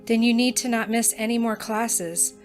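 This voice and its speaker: A woman's voice: a little high, fairly even intonation, moderate pace, soft background music, natural voice. An actress playing a practical young female student with magic powers who talks earnestly and keeps proposing plans.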